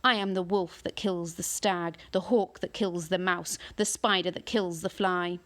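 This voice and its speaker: A woman reading aloud, voicing a huge ancient male tree monster with slow, booming declamation. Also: fairly even intonation, close to an everyday voice.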